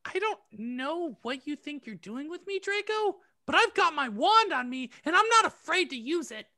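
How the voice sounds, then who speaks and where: A man playing a high, creaky teenage witch girl. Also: sing-song, normal speed, pushed and bright, exaggerated voice. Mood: alarmed, defiant. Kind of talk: dramatic fan-fiction reading.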